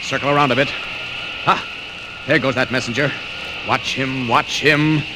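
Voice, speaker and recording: An actor playing a bold, self-assured reporter who is secretly a superhero, brisk and declamatory in 1940s radio serial style. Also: male voice, medium pitch, steady tone, natural voice. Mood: heroic, urgent. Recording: hissy, crackly old radio record transfer.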